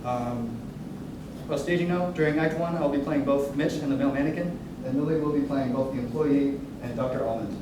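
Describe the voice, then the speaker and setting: A man's voice: medium pitch, a little fast, noisy background. A young man giving a casual, irreverent, hesitant announcement to introduce a staged reading. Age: young adult. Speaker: writer presenting his musical and performer. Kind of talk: informal pre-show announcement. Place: indoor room at a staged reading. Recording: distant, very echoey room recording, music.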